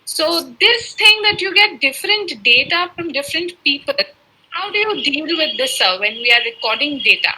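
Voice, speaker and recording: High, bright-voiced woman moderator. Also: lively intonation, moderate pace, low-quality recording. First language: Urdu. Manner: courteous, deferential, addresses the speaker as sir. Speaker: webinar moderator. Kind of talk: polite formal moderation.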